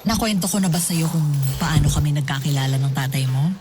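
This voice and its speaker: A middle-aged woman playing a warm, teasing mother in a radio drama, chatty and affectionate with her son. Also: deep voice, fairly quick, close to an everyday voice. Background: music.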